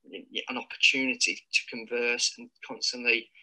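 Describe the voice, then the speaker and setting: Bright-voiced male student. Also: medium pitch, steady tone, moderate pace, low-quality recording. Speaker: architecture student. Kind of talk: testimonial for a promo film.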